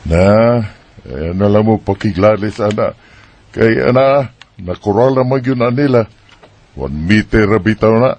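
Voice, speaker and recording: A man's voice: fairly low pitch, measured pace, darkish tone, muffled recording. Patient, resigned Bisaya father, acted by a man. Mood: resigned, placating. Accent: Filipino Bisaya. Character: patient, conciliatory father who avoids quarrels. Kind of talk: Bisaya radio drama acting.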